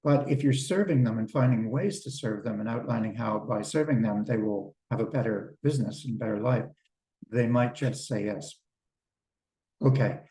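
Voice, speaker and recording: Relaxed man coaching on a video call. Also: mid-range voice. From Canada. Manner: relaxed, conversational, repeats words when starting. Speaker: business coach. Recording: roomy sound from a distance.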